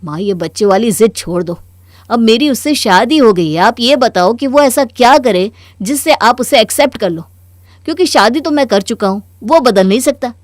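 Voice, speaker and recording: A woman's voice: a little high, animated, moderate pace, no echo, natural voice. Woman acting an earnest young husband, dry sound. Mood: exasperated. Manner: earnest, reasoning, mildly exasperated. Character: calm, firm young married son reasoning with his mother. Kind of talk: Hindi cartoon story dialogue.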